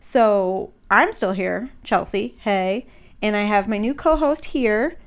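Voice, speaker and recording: A young woman hosts a podcast in loose, casual chat, her voice a little stuffed up and congested. She rambles along with plenty of fillers and "like"s, at a middle pitch. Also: slow pace. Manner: chatty, rambling with many fillers and likes. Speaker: podcast host.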